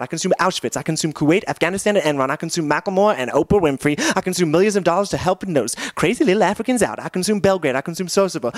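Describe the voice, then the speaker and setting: A man delivers slam poetry at a very quick clip, in a fairly high voice. His phrasing is repetitive and emphatic, piling up items like a chant with a sardonic edge. The sound is close and dry, without room echo. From New York. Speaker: slam poet.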